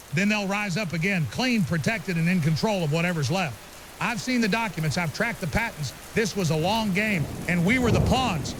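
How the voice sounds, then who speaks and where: A man playing an angry, paranoid conspiracy broadcaster in a zombie apocalypse, delivering a defiant, accusing dramatic monologue. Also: high-pitched. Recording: hissy recording with steady rain noise. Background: rain and hiss.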